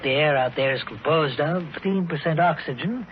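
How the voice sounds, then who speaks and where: Actor as a scholarly professor, higher-pitched, old radio. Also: male voice, close to an everyday voice. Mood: brisk, optimistic. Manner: measured, scholarly, precise. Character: learned, thoughtful male scientist professor on space expedition. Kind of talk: 1940s radio drama acting. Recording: muffled, noisy old 1940s radio recording.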